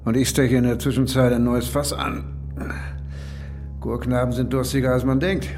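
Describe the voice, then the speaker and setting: Actor as eerie pub landlord, middle-pitched male voice, terse, deadpan, cryptic, audio drama, soft music underneath. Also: moderate pace, lightly acted. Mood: casual. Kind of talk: German audio drama acting.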